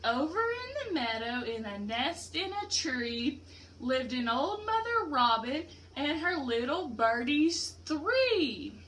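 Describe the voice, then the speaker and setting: A woman teacher reads a picture book aloud in a high, lively voice. She goes slowly, pausing to explain things simply and question her pupils. There is some background noise. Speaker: teacher reading aloud to a class. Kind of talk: interactive children's picture-book read-aloud.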